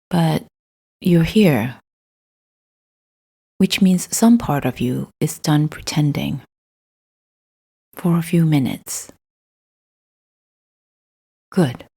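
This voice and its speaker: Female meditation guide, soft husky voice, mellow tone, very slow deliberate phrasing. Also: low voice. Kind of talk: scripted guided meditation, gentle spiritual talk.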